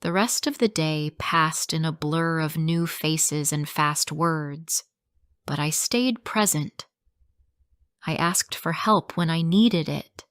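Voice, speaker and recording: A woman reading a simple first-person story for English learners, in slow, clearly spoken sentences. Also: deep voice, fairly bright tone, no echo, clean studio sound.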